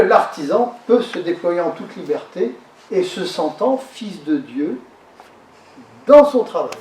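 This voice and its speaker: A man giving a lecture to an audience, pausing and repeating words as he explains. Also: high-pitched, warm tone. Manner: halting, repeats words and restarts while explaining. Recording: echoey hall, speaker far from the mic.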